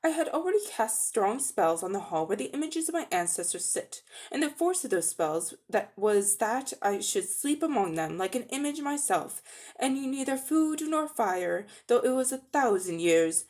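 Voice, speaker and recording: A woman's voice: fairly high pitch, lively intonation, normal speed, a little mellow, lightly acted. A woman voicing a haughty, towering evil sorceress queen in a storybook, grand, commanding and contemptuous. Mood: lofty, grand. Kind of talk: dramatic storybook reading.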